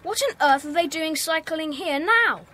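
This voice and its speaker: Young schoolboy, English accent, high forceful voice, sensible, bossy, children's radio play. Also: female voice, lively intonation, normal speed. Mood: urgent.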